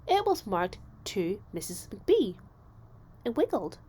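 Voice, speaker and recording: A woman reads a children's storybook aloud as narrator. Her delivery is expressive and deliberate, with dramatic emphasis and very lively pitch movement at a medium register. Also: slightly rough. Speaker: storybook reader.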